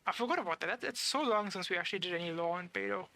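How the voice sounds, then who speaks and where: A man's voice: sits high, lively intonation, very fast, pushed and bright, slight background noise. A man giving casual live commentary as he plays a game, musing aloud in a hesitant way. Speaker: game streamer.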